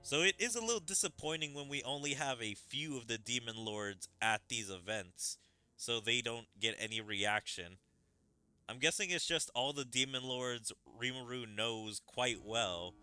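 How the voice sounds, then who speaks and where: Bright-voiced man, casual gaming commentary. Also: mid-range voice, moderate pace, smooth, faint music behind. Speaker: gaming streamer.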